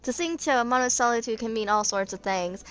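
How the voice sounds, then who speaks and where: Female, 20s, slightly high register, amateur fan reader of game lore, stumbles and rereads. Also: fast. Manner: stumbles over words and rereads them. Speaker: amateur gaming fan video creator. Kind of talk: reading game character lore aloud.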